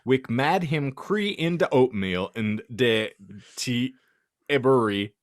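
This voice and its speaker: A man's voice: medium pitch, takes it slowly, dry recording, studio quality. A man hosting a podcast, reading garbled fan fiction aloud in a theatrical, mock-serious comedic style.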